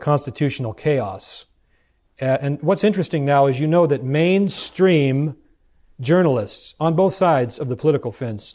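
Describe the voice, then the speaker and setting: American male preacher with a mellow voice. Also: mid-range voice. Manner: rhetorical questions to the listeners, deliberate emphasis. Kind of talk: sermon lecture with read-out quotations.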